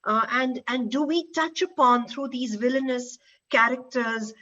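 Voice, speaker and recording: A woman with an Indian accent moderating a panel in an unscripted, halting way, repeating words as she goes. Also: on the high side, bright, forceful tone, lo-fi sound.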